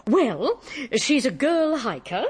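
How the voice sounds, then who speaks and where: Animated, chatty English lady writer. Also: high voice, husky, no echo, exaggerated voice. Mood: chatty, enthusiastic. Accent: upper-class English. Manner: gossipy, brisk, wry. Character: chatty, scatty, good-humoured English lady crime novelist. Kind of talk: radio drama acting.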